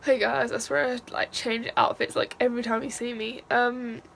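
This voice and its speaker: A young woman with a Mancunian accent talks to her camera in a loose, rambling vlog full of fillers, her nose stuffy from crying. Her voice sits a little high and carries a gravelly fry. A faint hiss runs underneath the recording. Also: fairly even intonation, moderate pace, a bit bright. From England. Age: young adult. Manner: chatty, rambling, many fillers. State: stuffy-nosed after crying. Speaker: vlogger. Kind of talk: casual vlog talk to camera.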